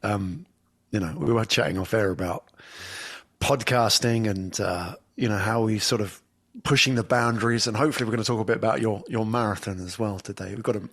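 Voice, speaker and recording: A man's voice: fairly low pitch, a little fast, fairly bright tone. A man with an English accent, a podcast guest speaking remotely in a thoughtful, storytelling way. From England. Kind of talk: conversational interview. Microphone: remote call from afar.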